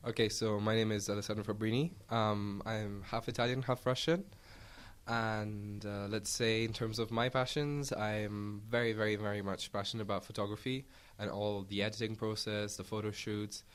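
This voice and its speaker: Young male student interviewee, slightly low voice, casual delivery. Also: level tone, moderate pace, smooth. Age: young adult. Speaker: student photographer, interview guest.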